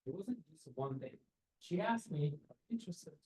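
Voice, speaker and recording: Earnest man, husky and mellow. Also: high voice, expressive, normal speed, rough recording. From Iran. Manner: earnest, direct, rhetorical questions, non-native phrasing. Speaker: professor giving a seminar. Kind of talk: career advice talk over slides. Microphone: webcam on a video call.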